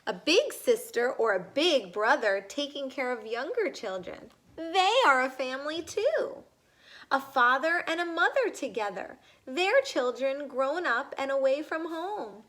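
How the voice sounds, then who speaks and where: A woman reading a children's picture book aloud in a deliberate way, putting questions to young listeners. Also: sits high, lively intonation, a bit bright. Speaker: read-aloud reader.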